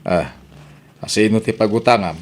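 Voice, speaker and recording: A man's voice: on the low side, moderate pace, close to an everyday voice. A man with an Ilocano accent playing a skeptical, grumbling husband in a comedy radio drama, full of doubting questions.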